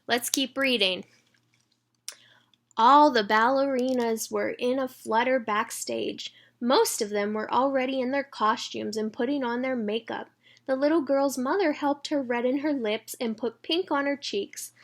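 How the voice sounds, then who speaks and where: Female read-aloud storyteller for kids, higher-pitched voice, expressive and engaging. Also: unhurried. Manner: engages young listeners with questions. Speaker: children's read-aloud reader.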